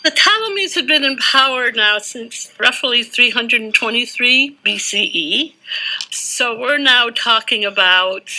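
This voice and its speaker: A woman giving measured, scripted documentary narration. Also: fairly high pitch, lively intonation, sharp tone, a little hiss or hum, rough recording.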